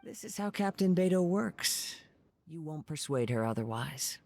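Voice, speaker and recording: An actor playing a calm, thoughtful young wandering swordsman in a video game, speaking in a measured, formal way. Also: a little high, vocal fry. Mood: calm advice. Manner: measured, formal, soft-spoken.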